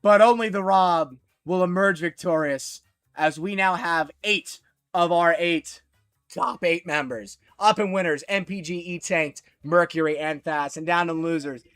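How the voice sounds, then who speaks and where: A man giving solo live commentary on a fighting game tournament, excitable and jumping to high shouts at big moments, his voice a little worn, from a small closet. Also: a little slow, clear, resonant tone, no room sound. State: losing his voice. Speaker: esports commentator.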